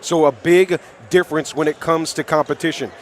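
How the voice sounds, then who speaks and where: A man's voice: a little high, fairly even intonation, a little fast. An American man hosting pregame basketball commentary on radio, emphatically rattling off statistics. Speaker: sports radio play-by-play host.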